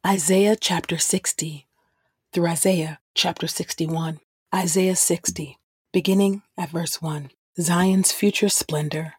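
A woman reads scripture as a podcast host, in a measured style. Her voice sits fairly low and has a husky grain. The sound is very dry and close. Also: fairly even intonation, a little mellow, dry recording.

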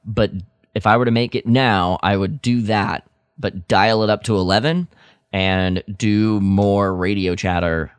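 A man's voice: on the low side, fairly even intonation, measured pace, clean tone, no room sound. A man co-hosting a podcast, casually discussing films and thinking aloud as he gives his opinions. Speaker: podcast co-host. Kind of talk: casual film discussion chat.

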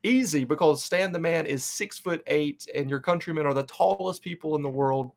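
Upbeat guy hosting a fan podcast, kinda higher voice, lots of ums. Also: moderate pace, gravelly, crowd noise. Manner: upbeat, chatty, loose with fillers. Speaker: podcast host. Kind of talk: casual interview chat.

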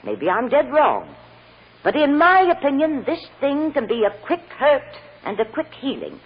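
Lively, no-nonsense woman psychologist. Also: high voice, normal speed, husky, full character voice. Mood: brisk, firm. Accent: American. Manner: no-nonsense, folksy, decisive. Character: brisk, plain-spoken female child psychologist, firm. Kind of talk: old-time American radio drama acting. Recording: dull old radio recording with hiss.

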